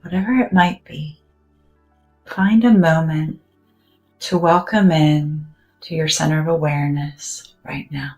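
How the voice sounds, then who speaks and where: A woman with a low, unhurried voice guides a meditation, speaking softly and soothingly with long pauses between phrases. Now and then she sinks almost to a whisper. Faint music plays quietly behind her. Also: fairly even intonation, rough recording. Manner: soft, gentle and soothing, with drawn-out pauses.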